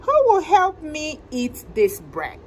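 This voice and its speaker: Storybook mother hen, read by a woman: high, slow, resolute and industrious, with noise behind. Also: wildly swooping pitch, heavily acted. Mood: hopeful asking.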